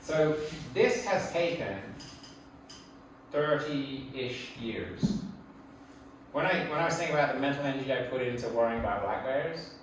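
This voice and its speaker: A middle-aged man with an English accent speaks to a room in an informal, reflective lecture style. His voice sits at an ordinary male pitch. It is picked up from a distance, with heavy echo and faint noise. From England. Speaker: speaker giving a public talk. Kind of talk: conversational slide lecture. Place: indoor talk venue before an audience.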